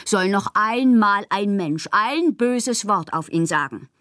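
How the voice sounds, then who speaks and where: A woman playing a moody, fanciful bohemian poet in a radio drama, reading her confessional letters aloud with expressive, theatrical, poetic phrasing. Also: mid-range voice, slow, dry recording, clean studio sound. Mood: excited, indignant.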